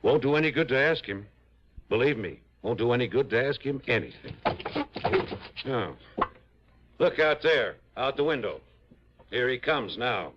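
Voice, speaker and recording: An actor playing an earnest, articulate, worried small-town public defender in an old-time radio drama. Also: male voice, mid-range voice, expressive, normal speed, long pauses, a little hiss or hum, lightly acted. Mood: resigned, worried. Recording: dull vintage radio recording.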